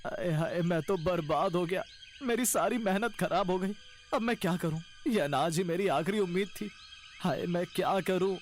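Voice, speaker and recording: Man playing earnest, high-voiced village farmer husband. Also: moderate pace, soft background music, natural voice. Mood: distressed. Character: kind-hearted, troubled young farmer husband. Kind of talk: Hindi cartoon story acting.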